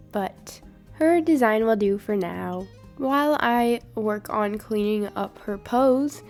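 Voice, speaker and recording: A young woman, hoarse from a sinus infection, chatting upbeat in a voiceover about her drawing. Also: on the high side, darkish tone. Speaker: art channel host. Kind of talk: voiceover over drawing footage.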